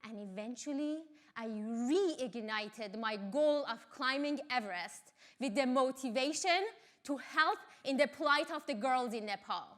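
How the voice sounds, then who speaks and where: A woman from Iran, a Farsi speaker, giving a motivational talk in a measured, earnest way. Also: sits high.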